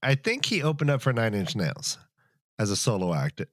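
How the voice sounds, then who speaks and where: A man's voice: medium pitch, sing-song, moderate pace, dry recording, studio quality. A man co-hosting a podcast, bantering casually and riffing with jokey interjections. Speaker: podcast co-host.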